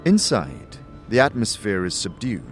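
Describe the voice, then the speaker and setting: A man giving dramatic scripted second-person narration for a fantasy audio series, in a measured, theatrical storytelling manner. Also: on the low side, sing-song. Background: music.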